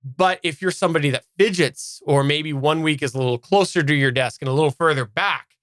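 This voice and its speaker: Man with a higher-pitched voice, reviewing gear. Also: fairly quick, a bit bright, no room sound, studio quality. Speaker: podcast host reviewing gear. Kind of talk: casual unscripted product review.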